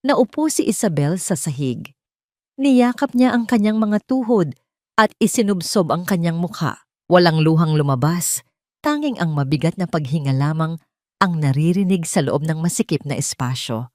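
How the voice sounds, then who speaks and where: A woman's voice: fairly low pitch, animated, normal speed, dark tone, no room sound, clean studio sound. A woman narrating a scripted dramatic story.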